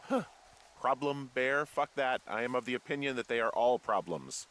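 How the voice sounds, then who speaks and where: A man playing a wary, sardonic fire lookout in a naturalistic video game style, halting and gruffly irritable. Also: mid-range voice, expressive, a bit bright, lightly acted. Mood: grumbling, dry. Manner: dry, sarcastic, halting, swears freely.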